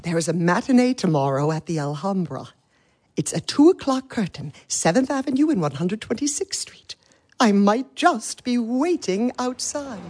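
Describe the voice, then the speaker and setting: A woman's voice: medium pitch, expressive, normal speed, darkish tone, natural voice. Woman acting a grand, theatrical aunt with swooping delivery. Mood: fond, conspiratorial. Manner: theatrical, grand, easily affronted. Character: haughty, theatre-loving spinster aunt, dramatic and indulgent. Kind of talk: stage-style audio drama acting.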